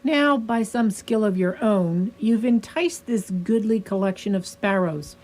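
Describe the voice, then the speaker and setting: A woman playing a weary, sorrowful but patient asylum doctor in a radio drama, with measured, educated, probing speech. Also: mid-range voice, darkish tone, lightly acted.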